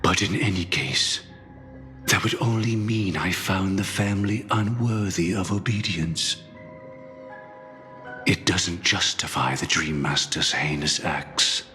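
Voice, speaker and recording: Grave courteous game schemer, low bright-edged voice, formal, world-weary, reverberant. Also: male voice, exaggerated voice. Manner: measured, formal, reflective. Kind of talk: scripted game voice acting, dramatic dialogue. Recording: long reverb under game music.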